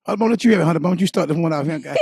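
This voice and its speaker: Fast-talking gravelly man. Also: fairly high pitch, a little mellow, dry recording, studio quality. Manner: playful, teasing, fast-talking. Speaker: podcast co-host. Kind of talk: casual banter.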